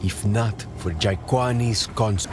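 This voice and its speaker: An actor playing a reverent chronicler in a fantasy game, reciting in a solemn, deliberate way. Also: male voice, lowish voice, heavy background noise. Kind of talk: dramatic game narration. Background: loud music.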